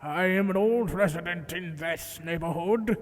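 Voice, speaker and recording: A man playing a solemn country clergyman in a period audio drama, ceremonious and measured. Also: sits high. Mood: troubled, shocked. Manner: ceremonious, measured, indignant when challenged. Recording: echoing church hall.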